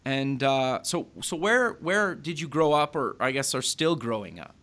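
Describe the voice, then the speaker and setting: A man's voice: mid-range voice, animated, a little slow, a bit bright. A man hosting a podcast interview, chatting casually and friendly, with many fillers and restarts. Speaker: podcast host.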